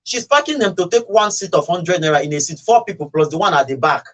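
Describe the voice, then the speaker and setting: A man's voice: high-pitched, fast. A man with a Nigerian accent in a casual, opinionated radio discussion, telling stories and acting out what people say. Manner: animated storytelling, acting out quoted remarks. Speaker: radio talk show speaker.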